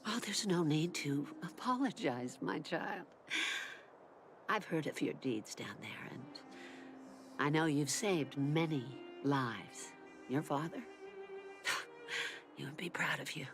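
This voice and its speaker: A woman playing a gentle, loving older mother in a drama, soft-spoken and emotional. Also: low voice, expressive, takes it slowly, long pauses, over music, heavily acted. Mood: warm, reassuring. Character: gentle, loving elderly mother comforting her grown daughter. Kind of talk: dramatic voice acting.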